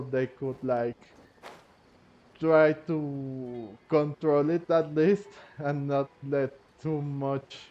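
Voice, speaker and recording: A man's voice: medium pitch, darkish tone, dull audio. A man giving casual playthrough commentary on a game, thinking aloud hesitantly and repeating phrases. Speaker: gaming content creator.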